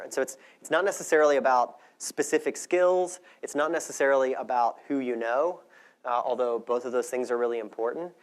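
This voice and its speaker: A man speaking as a conference presenter in a conversational, reflective way, both presenting and answering questions. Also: medium pitch. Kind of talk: conference talk and audience Q&A.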